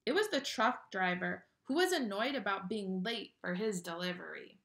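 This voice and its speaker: A woman reading a children's picture book aloud with expressive, dramatic storytelling delivery. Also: medium pitch, normal speed, creaky.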